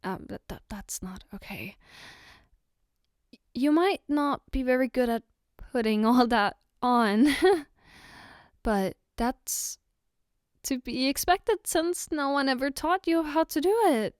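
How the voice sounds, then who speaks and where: A woman's voice: high voice, expressive, slow pace, pauses often, smooth, no echo, studio quality. A woman playing a loving, reassuring and playfully teasing girlfriend in an intimate ASMR roleplay, with a tender, coaxing manner. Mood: gently teasing, caring. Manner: tender, coaxing, soft giggles between phrases. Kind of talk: ASMR roleplay, intimate one-sided dialogue.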